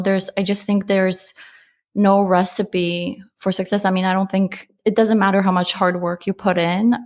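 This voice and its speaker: A woman's voice: medium pitch, darkish tone. A woman from Poland, a startup founder, talking reflectively in a casual interview with frequent restarts and fillers.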